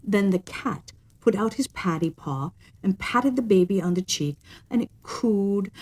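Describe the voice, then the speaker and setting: A woman's voice: mid-range voice, darkish tone. Woman reading a children's story aloud. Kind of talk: expressive children's story narration.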